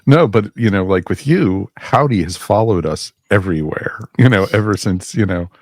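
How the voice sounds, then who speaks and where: A man's voice: on the low side, moderate pace, dry recording, clean studio sound. A man hosting a podcast in casual chat, joking and filling with "you know". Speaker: podcast host.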